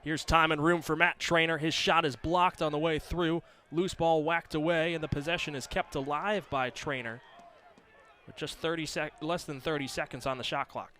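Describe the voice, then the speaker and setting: A man's voice: fairly high pitch, steady tone, moderate pace, sharp tone, a little hiss or hum. A man calling live sports as a play-by-play announcer on a broadcast.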